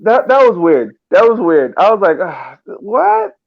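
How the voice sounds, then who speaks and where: A man giving casual, halting match analysis over a thin phone-like connection, often repeating his phrases. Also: high voice, wildly swooping pitch, vocal fry. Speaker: soccer podcast panelist. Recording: tinny, phone-like line.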